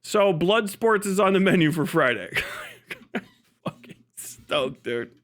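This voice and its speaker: A man hosting a casual livestream, talking to his viewers in an animated, sweary, mocking way. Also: high-pitched, takes it slowly. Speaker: live stream host.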